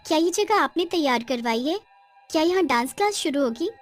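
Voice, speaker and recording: Woman as young village girl, high animated voice, earnest, timid, eager, cartoon storytelling. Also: fairly quick, faint music behind. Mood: eager, bright. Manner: earnest, expressive. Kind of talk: Hindi cartoon story acting.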